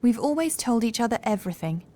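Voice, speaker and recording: A woman playing a young housekeeper in a horror audiobook, calm and assured, with measured, formal speech and a touch of sorrow. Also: fairly high pitch. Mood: quiet, thoughtful. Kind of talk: horror audiobook character acting.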